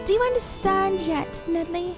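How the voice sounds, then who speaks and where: A woman's voice: extremely high pitch, normal speed. A woman playing a scheming, commanding living doll in an audio drama, sweetly menacing with formal, theatrical phrasing. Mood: coaxing, scheming. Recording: music under the voice.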